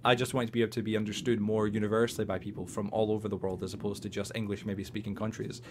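A bubbly, energetic man in his twenties with a softened Scottish accent hosts a casual chat. He talks fast and trips over his own restarts. A faint hiss sits under his voice. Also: fairly low pitch. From Scotland. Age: 20s. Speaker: podcast host.

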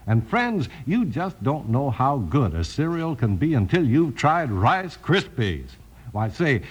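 A man with an American accent and a husky voice, announcing in scripted, folksy mid-century radio style. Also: rough recording. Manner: animated, folksy sales pitch. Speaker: announcer and narrator.

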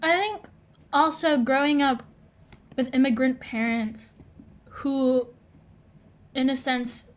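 A young American woman co-hosting a casual podcast, talking earnestly and thoughtfully, restarting her sentences as she goes. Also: sits high. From United States. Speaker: podcast co-host.

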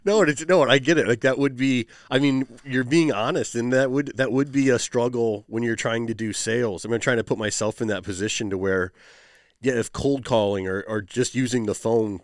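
A man hosting a casual interview, speaking in a relaxed, affirming way. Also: mid-range voice, fairly quick, no room sound, clean studio sound. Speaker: host. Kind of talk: casual conversation.

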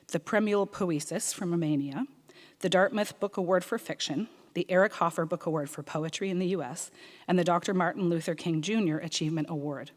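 A woman with a slightly low voice reads a formal, measured introduction of a speaker. She hosts the event at a museum. Long hall reverb surrounds her voice. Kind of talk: read introduction.

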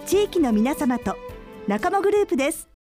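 A woman's voice: high-pitched, big pitch swings, normal speed, music in the background. A woman reading a scripted sponsor announcement on radio in a polite, carefully phrased announcer manner.

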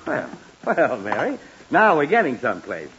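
A man's voice: mid-range voice, wildly swooping pitch, moderate pace, fairly bright tone, highs cut off, a little hiss or hum. An actor playing a self-important, exasperated middle-aged father who sputters through a 1940s radio comedy, on an old broadcast recording. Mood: eager, boastful.

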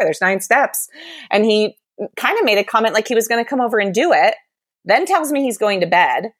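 A woman's voice: mid-range voice, no room sound, studio quality. A young adult woman telling a candid, exasperated dating story with lots of "like" in a casual chat.

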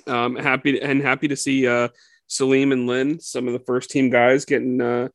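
A man's voice: medium pitch, normal speed, dry recording, clean studio sound. A man co-hosting a sports podcast, chatting casually with frequent fillers and easy laughs. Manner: relaxed, laughs often, many fillers. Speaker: podcast co-host.

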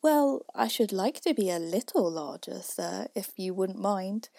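A woman's voice: medium pitch, measured pace, gravelly, dark tone, no room sound, clean studio sound. A woman voicing a timid, polite, easily flustered little girl in a storybook, hesitant and pleading. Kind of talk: storybook reading.

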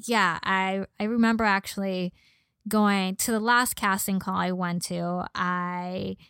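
Young female podcast guest, slight Californian Valley girl accent, mid-pitched smooth voice, conversational. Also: steady tone, unhurried, a bit bright, dry recording, studio quality. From Los Angeles, born in Mexico. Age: young adult. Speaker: podcast guest, model and personal trainer. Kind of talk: casual conversational interview.

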